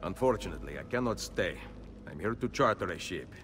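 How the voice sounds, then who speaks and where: Hooded Renaissance assassin: serious, curt, fairly deep, faint music behind, game cutscene. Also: male voice, expressive, fairly quick. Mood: reserved. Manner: terse, serious, commanding. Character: terse, stern hooded Renaissance assassin, commanding and determined. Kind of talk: dramatic video game cutscene acting.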